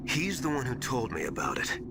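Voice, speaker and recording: A man playing an earnest, secretive military informant in a dramatic video game, hushed and hesitant as he confides. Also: medium pitch, wildly swooping pitch, a little fast, creaky, very noisy. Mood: secretive, worried. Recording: loud music bed under voice.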